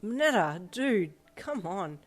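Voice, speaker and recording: A woman's voice: mid-range voice, sing-song, takes it slowly, soft background music, pitched above the performer's own voice. A woman reading the lines of a casual, laid-back teenage boy.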